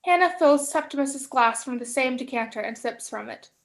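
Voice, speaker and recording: High-voiced young woman narrating. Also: normal speed. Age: young adult. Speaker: stage directions reader. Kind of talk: scripted narration.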